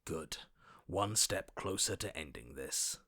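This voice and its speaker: A combative male inner voice from a video game, low and hard-edged. He is stubborn and scornful, giving orders with force, in a close, dry sound. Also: lively intonation, slow pace, bright, forceful tone, breathy edge, no room sound, exaggerated voice. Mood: grim determination. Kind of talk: dramatic video game voice acting.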